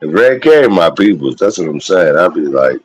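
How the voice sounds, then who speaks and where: Deep-voiced man in his forties, profane. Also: very animated, fairly quick, husky. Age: 40s. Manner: loose, profane street talk. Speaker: guest on a live stream. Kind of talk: casual chat.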